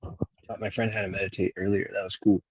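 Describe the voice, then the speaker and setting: A man from Venezuela talking casually and unscripted as a livestream host, rambling and halting as his thoughts trail off. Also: low-pitched, little pitch movement, breathy edge, no room sound, lo-fi sound. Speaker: live stream host.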